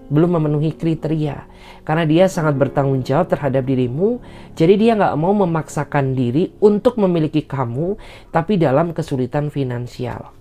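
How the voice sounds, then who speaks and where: A woman with an Indonesian accent and a low, warm, relaxed voice gives a casual tarot reading full of fillers. She often speaks the other person's thoughts in the first person. Soft guitar music plays quietly behind her. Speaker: tarot reader.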